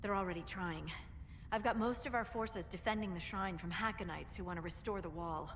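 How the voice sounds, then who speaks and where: A woman's voice: mid-range voice, brisk, natural voice. An actress playing a practical, no-nonsense female military scout in a fantasy video game, casual and matter-of-fact. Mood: practical, urgent. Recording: reverberant hall with faint ambient noise.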